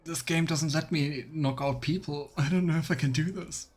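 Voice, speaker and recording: A man's voice: a little high, fairly even intonation, moderate pace, darkish tone. A man streaming a video game with casual let's play commentary, making wry, sarcastic asides.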